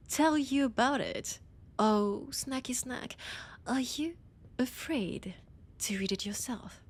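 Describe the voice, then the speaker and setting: Casting: actress as female vampire scholar, French accent, unhurried and husky, condescending menace with teasing whispers, audio drama roleplay. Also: on the high side, heavily acted. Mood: teasing, amused. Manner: slow, teasing, condescending, often dropping to a whisper. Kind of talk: scripted audio roleplay addressed to the listener.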